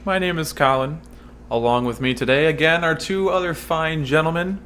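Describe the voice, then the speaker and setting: A man's voice: on the high side, normal speed, fairly bright tone, slight background noise. A man hosting a casual podcast, joking and laughing often with his co-hosts in a small home studio. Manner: jokey, upbeat, laughs often. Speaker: podcast host. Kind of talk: casual chat.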